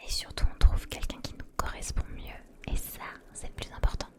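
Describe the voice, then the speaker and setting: A woman hosting a casual ASMR live chat, speaking softly and intimately to viewers in a breathy whisper. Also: normal speed. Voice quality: breathy, mostly unvoiced whisper. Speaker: ASMR streamer, host.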